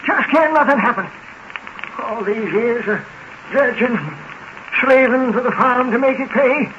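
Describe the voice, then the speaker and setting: An actor playing a sick, miserly old farmer with a rural American accent, querulous and demanding, in 1940s radio drama style. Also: male voice, very high-pitched, unhurried. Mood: desperate, possessive. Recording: muffled, hissy 1940s radio recording.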